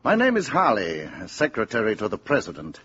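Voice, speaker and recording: An actor playing a polite, careful American presidential secretary with hesitant diplomatic manners in a 1950s radio drama. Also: male voice, fairly low pitch, huge pitch swings, brisk, a bit bright, breathy edge, heavily acted. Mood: courteous, careful. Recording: muffled 1950s radio broadcast.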